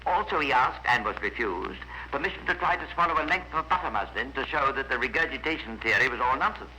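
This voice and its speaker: A man's voice: mid-range voice, expressive, fast, pushed and bright. An actor playing a composed, wry male narrator of a scripted 1950s radio crime drama. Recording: noisy vintage 1950s radio recording.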